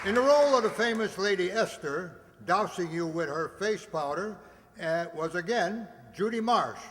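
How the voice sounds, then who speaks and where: A male announcer with a high voice presents a cast to a live audience in a jokey, showman-like way. The hall rings with long reverb and clear crowd noise. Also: lively intonation, moderate pace.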